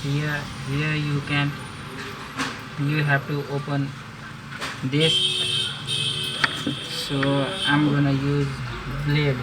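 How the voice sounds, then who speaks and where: Male, Nepali native, slow flat tutorial delivery in halting English, noisy recording. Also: fairly high pitch. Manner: halting non-native English, many pauses and restarts. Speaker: phone repair demonstrator. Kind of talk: hands-on repair tutorial. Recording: loud background noise.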